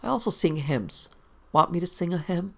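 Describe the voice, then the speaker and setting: A man plays a boozy reporter in a pulp detective audiobook. His creaky voice rambles through flippant banter. He sounds slack and mock-innocent, always joking. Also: high voice, expressive, normal speed, pushed and bright, full character voice. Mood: flippant, tipsy. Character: tipsy, wisecracking, rambling male newspaper reporter.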